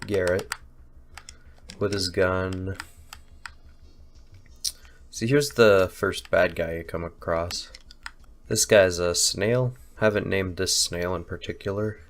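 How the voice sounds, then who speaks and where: A young man with a deep, low voice, stuffed-up and nasal from a cold with a slight hoarseness. He rambles through his voice-over in a loose, self-deprecating way, full of fillers and asides. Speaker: indie game developer. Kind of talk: casual gameplay voice-over commentary.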